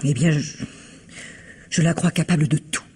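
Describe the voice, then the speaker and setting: A woman acting a reserved, quietly spiteful shopkeeper's wife in a vintage French radio mystery, measured and evasive in manner. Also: deep voice, slight background noise, lightly acted. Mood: cautious.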